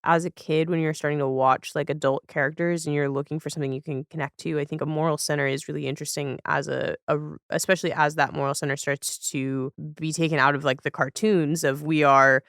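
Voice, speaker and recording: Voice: mid-range voice, level tone, quick pace, hardly any pauses, a bit bright, clear, resonant tone, no echo, clean studio sound. A podcast host talking casually, thoughtfully rephrasing ideas and questions along the way.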